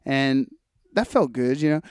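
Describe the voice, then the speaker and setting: A man's voice: medium pitch, animated, measured pace, clean tone, no room sound, clean studio sound. A man of twenty-five chatting casually as a podcast host, thinking aloud in a wry, hesitant way. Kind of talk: casual conversational podcast chat.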